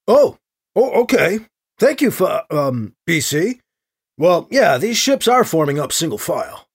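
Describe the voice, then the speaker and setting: A man's voice: fairly high pitch, big pitch swings, measured pace, creaky, breathy edge, full character voice. A man voicing a flustered, inexperienced colonial governor in an audio drama, hesitant and worried. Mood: anxious.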